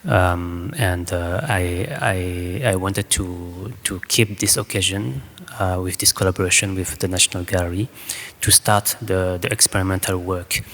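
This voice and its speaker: Low, slow, halting French-accented man. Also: fairly even intonation, fairly bright tone. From France. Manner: halting, hesitant English. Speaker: choreographer, guest speaker. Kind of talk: informal public talk and audience Q&A. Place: indoor public hall with audience. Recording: echoey hall, faint background noise.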